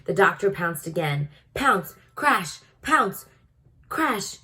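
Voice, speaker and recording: A woman with a slightly low voice narrates a children's book. She reads in a teacherly way, as if guiding young listeners through the story. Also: sing-song, takes it slowly, bright, forceful tone. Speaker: storybook reader. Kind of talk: read-aloud narration for children.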